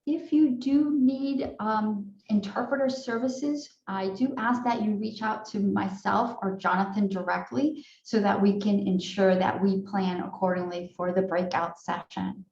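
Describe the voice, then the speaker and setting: A woman who directs training, opening a video-call session with polite, measured housekeeping notes and frequent fillers. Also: mid-range voice, fairly even intonation, vocal fry, darkish tone. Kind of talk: online training welcome and housekeeping. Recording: echoey room, dull lo-fi sound.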